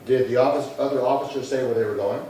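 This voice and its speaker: A man playing a terse, deadpan police detective sergeant in a 1950s-style radio crime drama, clipped and businesslike. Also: medium pitch, fast talker, natural voice. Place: live theatre performance space. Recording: very echoey hall, distant, faint noise.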